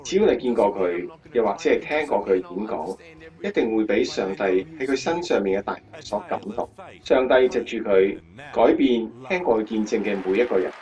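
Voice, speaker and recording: An actor playing an admiring, earnest interviewer and narrator in a radio drama, presenterly. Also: male voice, on the low side, animated, moderate pace, darkish tone, far from the mic, highs cut off, lightly acted. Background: guitar music.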